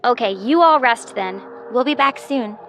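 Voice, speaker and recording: A woman's voice: on the high side, expressive, normal speed, fairly bright tone, faint music behind. A woman playing an impatient, bossy teenage girl minding younger children in a radio drama.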